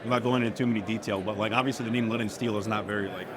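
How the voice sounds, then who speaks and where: A man's voice: fairly low pitch, fairly even intonation, very fast. A man giving a casual product interview as a company owner, talking informally in business jargon at a trade show. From Turkey or of Turkish family. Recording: busy crowded convention hall noise. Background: loud crowd chatter.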